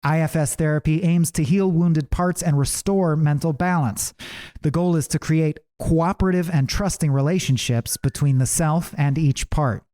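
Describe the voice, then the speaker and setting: A man reading his own audiobook as scripted narration, in a measured, deliberate way with pauses. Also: a little high, warm tone.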